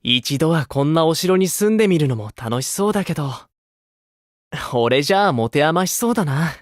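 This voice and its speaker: An actor playing a gentle, playful grown man, a caring older teammate in an idol game, with a coaxing manner. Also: fairly high pitch, expressive, normal speed, no echo, lightly acted. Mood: wistful, amiable. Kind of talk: Japanese mobile game voice acting.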